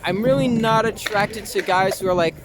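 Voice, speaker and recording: A young American man talking casually and candidly as an interview guest, halting and informal, leaning on "like" and the odd swear word. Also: sits high, background noise. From Long Island, New York, US. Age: young adult.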